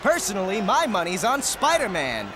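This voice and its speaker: An actor playing an excitable, assured TV event commentator in a video game, punchy and emphatic. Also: male voice, sits high, normal speed, noisy background. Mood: assured excitement.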